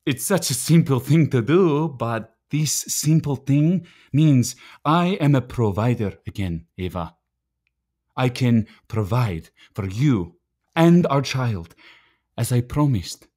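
A desperate immigrant husband. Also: male voice, medium pitch, animated, warm tone. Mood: hushed, earnest. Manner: earnest, halting, pleading. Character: desperate, proud immigrant night watchman, husband pleading with wife. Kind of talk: radio play acting.

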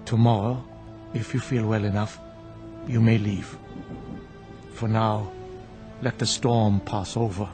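An actor playing a grave old monk in a radio drama, speaking with solemn, deliberate, preacherly certainty. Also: male voice, lowish voice, steady tone. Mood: grave, paternal. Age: older. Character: grave, zealous old monk, unshakably certain of his faith. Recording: music bed behind the voice.

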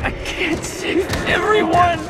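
An actor playing a desperate but defiant young superhero in a video game, earnest and strained. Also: male voice, very high-pitched, steady tone, slow pace, shouting, loud music behind the voice. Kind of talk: dramatic video game acting.

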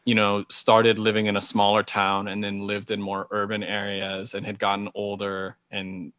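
A man's voice: on the low side, monotone, moderate pace, a bit bright, no echo. A man in his thirties from Hawaii, answering interview questions thoughtfully over a video call, often restarting his phrases. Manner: thoughtful, careful, frequent restarts. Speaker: guest author. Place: small indoor room. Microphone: video call with earbuds.

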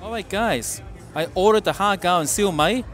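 Middle-aged guy vlogging from a restaurant booth, deadpan and wry, close mic, faint music in the back. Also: sits high, normal speed, some chatter behind. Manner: wry, deadpan remarks about prices. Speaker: food vlogger. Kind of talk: casual restaurant review vlog. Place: dim restaurant booth, indoors.

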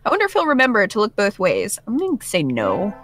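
A woman reads visual novel narration and adds playful, casual commentary. Her pitch moves around a lot, with game music playing behind her. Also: huge pitch swings, quick pace, a bit bright, dry recording. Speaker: gaming video co-host.

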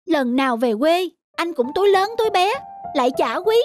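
A woman voicing a young city wife, grumbling but soft-hearted, in a cartoon family drama. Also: very high voice, expressive, normal speed, music in the background. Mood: nagging. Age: young adult.

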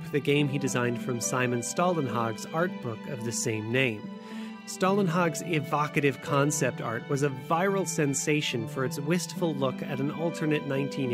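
A man hosting a podcast, reading scripted narration in a measured, articulate way. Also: a little high. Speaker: podcast host. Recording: music bed under the voice. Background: guitar music.

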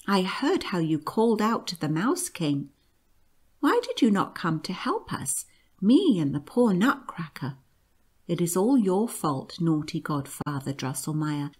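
An earnest young storybook girl, played by a woman reader, sick in bed and full of reproach. The words come slowly, with the pitch raised a little above the narration. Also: slightly rough.

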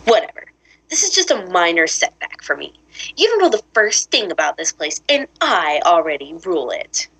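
A woman's voice: fairly low pitch, sing-song, moderate pace, a bit bright, husky, exaggerated voice, rough recording. A woman voicing a smug, scheming teenage villain girl in a cartoon, with mocking, condescending taunts. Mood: dismissive. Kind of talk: animated cartoon voice acting.

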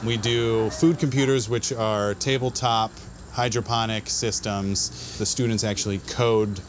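A man's voice: fairly low pitch, steady tone, a little slow, noisy background. A man in his thirties, a school farm manager, explaining the farm in an easygoing interview outdoors.

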